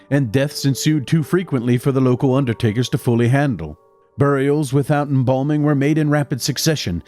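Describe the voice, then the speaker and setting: A man playing a mild young doctor who narrates and speaks in an audio drama, measured and formal. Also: medium pitch, a little mellow, lightly acted. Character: mild, conciliatory young male doctor, loyal colleague and narrator. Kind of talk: literary first-person narration and dialogue in audio drama.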